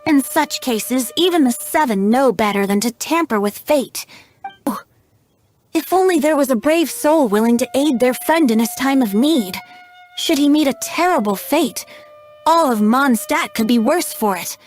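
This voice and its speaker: A playful young male bard from a fantasy video game. The voice is high and very animated, lilting and theatrical, with music underneath. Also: moderate pace. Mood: playful, earnest. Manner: lilting, poetic, teasing. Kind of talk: English video game voice acting.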